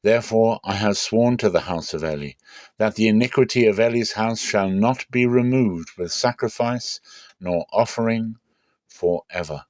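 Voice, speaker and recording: A man's voice: on the low side, moderate pace, a little mellow, no room sound, natural voice. A man voicing a stern God pronouncing judgment in a dramatic scripture reading, solemn and declarative.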